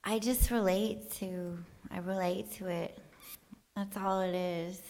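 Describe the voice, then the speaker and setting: A woman's voice: medium pitch, fairly even intonation, takes it slowly, darkish tone. A woman artist giving a casual, unscripted talk to an audience, rambling and joking in an offhand, colloquial way. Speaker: artist giving a talk about their work. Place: live talk in front of an audience.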